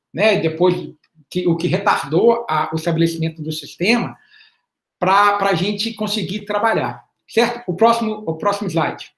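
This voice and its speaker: A man giving a slide presentation as an invited panelist in an online talk. He speaks in a halting, explanatory way, repeats words and often checks in with a questioning "right?". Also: high-pitched. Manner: explanatory, measured. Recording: roomy echo on an online call.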